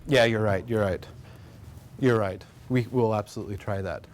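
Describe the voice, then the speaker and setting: An American man delivering an academic talk at a conference. His delivery is relaxed and unpolished, full of fillers and self-corrections. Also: on the low side, normal speed. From United States. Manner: relaxed, many fillers and restarts. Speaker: conference presenter. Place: conference room.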